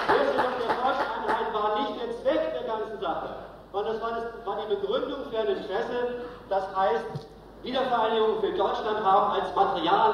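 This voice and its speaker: Echoey, high-pitched man giving a political speech. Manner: emphatic, repeats phrases for stress. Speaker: political speaker at a congress. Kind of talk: polemical political lecture. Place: large hall, live speech. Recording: very echoey hall, distant, dull, faint noise.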